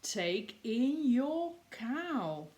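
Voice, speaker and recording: Woman reading as a slow, wise old man. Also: fairly high pitch, big pitch swings, darkish tone, roomy sound, muffled recording, heavily acted. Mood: calm, instructing. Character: calm wise old man giving odd advice.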